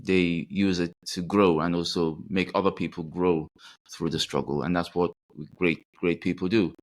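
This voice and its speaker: Man, very low voice, from Africa, podcast host, earnest, encouraging. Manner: earnest, encouraging, repeats words. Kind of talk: interview conversation.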